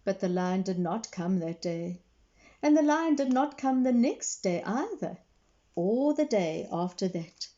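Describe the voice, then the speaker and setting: A woman reading a children's story expressively, pausing to explain and put questions to young listeners. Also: mid-range voice, lively intonation, mellow, lo-fi sound. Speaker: read-aloud storyteller for children.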